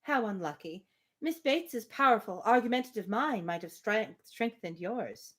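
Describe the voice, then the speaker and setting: A woman's voice: medium pitch, expressive, moderate pace, fairly bright tone, lightly acted. Gracious, teasing young lady, read by a woman. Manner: polite, witty, formal speech.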